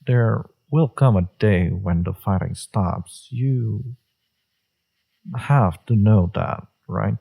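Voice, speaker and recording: A man playing a smug, seductive villain in a romantic audio roleplay, teasing and sure of himself, with a low, gravelly, husky voice. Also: dark tone, exaggerated voice. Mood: earnest, resolute. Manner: teasing, self-assured, unhurried.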